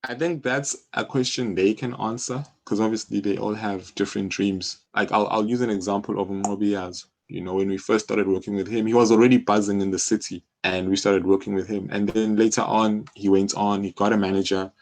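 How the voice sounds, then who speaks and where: A man with a South African accent speaks in a low register. He explains things in a casual, conversational way, like a guest in an interview, often checking that the listener follows. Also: steady tone, a little fast, hardly any pauses. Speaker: music publishing company co-founder, interview guest.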